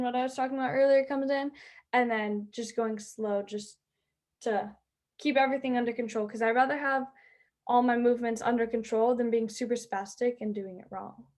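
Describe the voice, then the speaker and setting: A teenage girl who plays high school softball, chatting eagerly in an interview with lots of fillers. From Southern California. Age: teens. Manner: bubbly, chatty, many "like" and "um" fillers. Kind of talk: interview answers.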